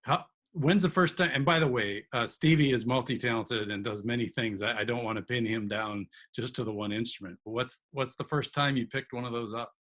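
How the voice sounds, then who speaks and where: A man's voice: mid-range voice, brisk, hardly any pauses, a bit bright. A man from Wisconsin hosting a show and interviewing a guest in a casual chat, laughing often and restarting phrases. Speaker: show host.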